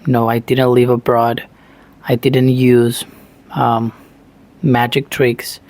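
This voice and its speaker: A man's voice: mid-range voice, little pitch movement, takes it slowly, darkish tone, no echo. A man from Argentina with an Argentine Spanish accent, a native Spanish speaker giving an earnest motivational talk in English, full of "you know" fillers. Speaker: self-taught English learner, channel host.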